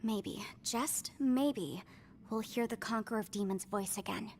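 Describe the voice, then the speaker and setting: A woman's voice: a little high, unhurried, darkish tone. A woman voicing a clever, confident young strategist in a fantasy video game, explaining things earnestly and articulately. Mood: thoughtful, hopeful. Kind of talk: scripted video game voice acting. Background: game music.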